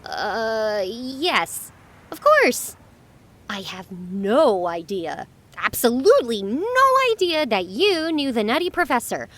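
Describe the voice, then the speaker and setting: A woman's voice: on the high side, slow pace, heavily acted. A woman voicing an eager, outspoken young girl adventurer who blurts things out in a children's audio drama. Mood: chatty, flustered. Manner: excitable, blurting.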